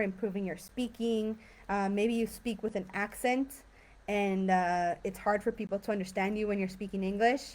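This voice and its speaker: A young woman from California teaching English, talking casually on a live stream with many fillers. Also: medium pitch. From San Diego and Tijuana. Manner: chatty, relaxed, many fillers.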